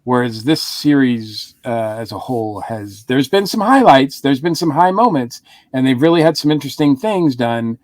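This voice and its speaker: A man's voice: mid-range voice, expressive, moderate pace, hardly any pauses, no echo. Chatty man with a dry voice. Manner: rambling, with frequent restarts and chuckles. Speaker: podcast co-host. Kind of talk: casual review chat.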